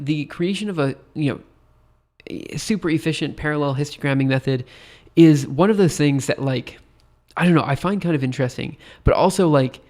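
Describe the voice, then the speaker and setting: A tired man with a stuffy nose thinks aloud while live coding on a stream, rambling hesitantly and poking fun at himself. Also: medium pitch. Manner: rambling, hesitant, self-deprecating.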